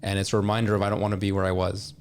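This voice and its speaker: Low, gravelly man, fairly even. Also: a little hiss or hum. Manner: measured, explanatory. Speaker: podcast guest, supplement industry representative. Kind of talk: conversational explanation.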